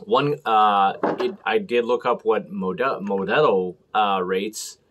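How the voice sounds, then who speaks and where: An American man hosting a casual beer review to camera, talking in halting, clipped short phrases. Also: on the low side, noisy background. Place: small room at home, indoors.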